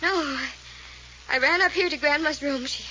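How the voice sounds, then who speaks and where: A frightened young woman in an old-time radio mystery. Her voice is high and piercing, with halting, emotional delivery. The recording is dull and faintly noisy. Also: sharp tone. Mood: shaken. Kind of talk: 1940s radio acting.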